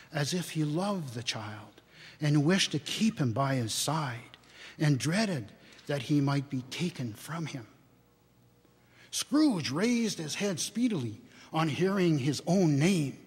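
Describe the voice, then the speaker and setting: A man giving a theatrical, expressive live storybook narration in a church. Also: fairly high pitch, takes it slowly, husky. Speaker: dramatic reader. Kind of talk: scripted storybook narration before an audience. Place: inside a church, live reading. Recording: church hall with long reverb.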